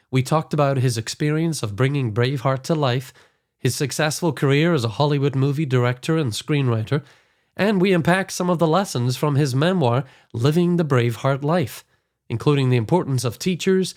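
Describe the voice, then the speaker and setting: Slightly hoarse man hosting. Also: medium pitch. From Scotland. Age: 40s. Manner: earnest, enthusiastic storytelling. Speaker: podcast host. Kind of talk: scripted podcast introduction.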